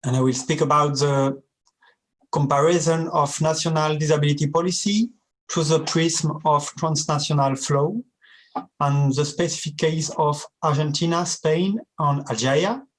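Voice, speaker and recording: A man presenting a seminar paper in careful, deliberate, non-native English. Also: fairly high pitch, low-quality recording. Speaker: academic presenter. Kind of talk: reading a conference paper.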